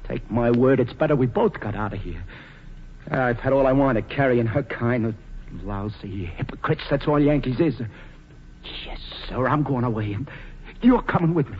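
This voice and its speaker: An actor playing a shifty, hot-tempered young thief of a husband, blustering in slang, 1940s radio drama style. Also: male voice, medium pitch, lively intonation, moderate pace, husky. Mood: bitter, coaxing. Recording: dull, noisy vintage radio recording.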